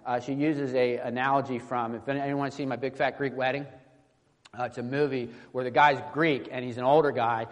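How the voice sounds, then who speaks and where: A man preaching an informal, teaching-style sermon in a halting, conversational way, often checking in with short tag questions. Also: medium pitch, level tone. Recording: echoey hall with long reverb.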